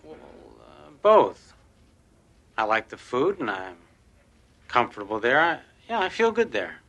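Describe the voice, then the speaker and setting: A man's voice: mid-range voice, sing-song, a little slow, a bit bright, muffled recording. An actor playing an earnest, hesitant man drawn to a waitress, in naturalistic television drama. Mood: defensive, sincere.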